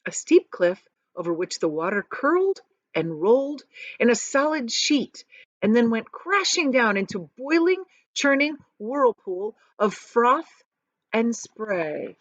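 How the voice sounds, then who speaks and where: Expressive woman reading a storybook aloud. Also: on the high side, slow pace, no room sound, highs cut off. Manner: explanatory, addresses young listeners, emphatic on descriptive words. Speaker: teacher reading aloud to children. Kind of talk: expressive storybook read-aloud with casual asides.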